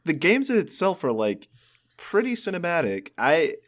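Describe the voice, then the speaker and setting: A man's voice: a little high, big pitch swings, normal speed, fairly bright tone. A man co-hosting a podcast in casual chat, thinking aloud as he talks. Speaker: podcast co-host.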